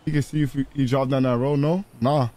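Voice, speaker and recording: A man's voice: medium pitch, fairly even intonation, fairly quick, dark tone. A man playing a crime role-play game, talking in profane, repetitive street slang and bossing others around. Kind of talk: in-character gaming banter, street slang.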